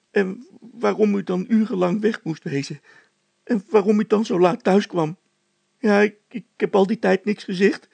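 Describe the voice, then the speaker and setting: A man voicing a stubborn, hot-tempered housekeeper woman in a storybook, shrill and vehement, repeating herself. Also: creaky, warm tone, husky, no room sound, full character voice.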